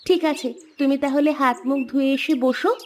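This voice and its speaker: A woman's voice: high voice, normal speed, a little mellow, slight background noise, close to an everyday voice. A woman voicing a young village wife greeting her husband in a Bengali cartoon, speaking in an affectionate, fussing, lilting way.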